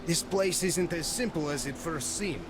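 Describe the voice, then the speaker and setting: An actor playing an excited male comrade who shouts from a distance. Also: fairly high pitch, moderate pace, close to an everyday voice. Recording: music behind, voice far from mic.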